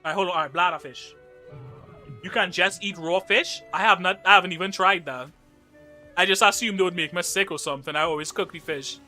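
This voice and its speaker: A young man from Trinidad and Tobago with a Trinidadian accent chats loosely while playing a game on stream. His voice sits a little high and rings very bright. He jokes and reads viewer messages aloud over faint game music. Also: fairly quick. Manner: chatty, joking, reads chat aloud. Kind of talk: casual live-stream gaming chat.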